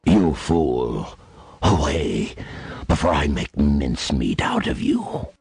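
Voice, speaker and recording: An actor playing a menacing middle-aged mad scientist villain in a video game, with a slow, threatening delivery. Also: male voice, rumbling low, very animated, a little mellow, slight background noise, exaggerated voice.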